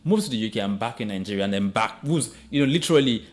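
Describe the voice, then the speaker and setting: A man hosting a podcast, chatting with his guest in a relaxed and encouraging way. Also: fairly low pitch, fast. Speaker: podcast host. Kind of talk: casual interview chat.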